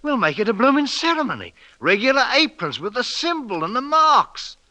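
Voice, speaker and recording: Swaggering British adventurer, bright forceful voice. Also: male voice, very high voice, very animated, moderate pace, no echo, lo-fi sound, full character voice. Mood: scheming, grandiose. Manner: commanding, swaggering, grandiose. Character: bold, scheming British ex-soldier adventurer hungry for a crown. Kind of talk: 1940s radio drama acting. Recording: old 1940s radio broadcast.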